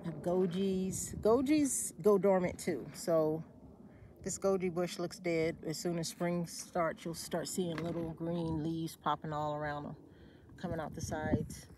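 Low-voiced woman touring her garden. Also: background noise. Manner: relaxed, unhurried, with fillers and self-corrections. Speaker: homesteader giving a garden tour. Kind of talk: casual walk-through narration. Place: outdoor desert garden.

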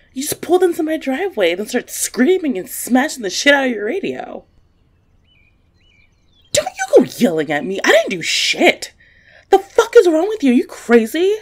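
A very high, wildly swinging female voice. An actress plays an indignant, foul-mouthed homeowner, loud and confrontational, in an audio drama. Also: heavily acted.

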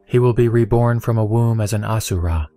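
A man giving a steady, even reading of a Buddhist scripture. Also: lowish voice, brisk, no echo. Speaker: sutra reciter. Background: soft background music.

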